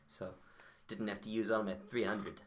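A man's voice: lowish voice, little pitch movement, normal speed, slight background noise, close to an everyday voice. A man playing a hapless, over-polite detective in a comedy story, stammering and repeating his words as he goes. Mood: narrating, wry. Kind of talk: comedic first-person detective story and sketch.

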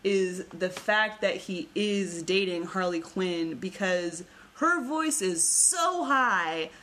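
Bright-voiced joking young woman. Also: on the low side, expressive, slow. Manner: crude, joking, laughs often. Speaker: podcast co-host. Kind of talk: casual comedic chat.

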